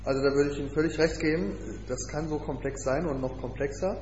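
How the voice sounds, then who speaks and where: A man presenting his research, answering audience questions in a measured, explanatory way. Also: a little high, a little mellow. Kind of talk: academic Q&A. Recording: echoey hall, muffled, noisy.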